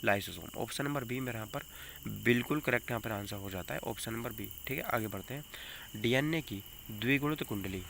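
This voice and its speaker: A man's voice: medium pitch, fairly quick, vocal fry, fairly bright tone. A man with a North Indian accent teaching an exam coaching lesson, repeating questions and answers for emphasis and asking rhetorical questions. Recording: noticeable background noise.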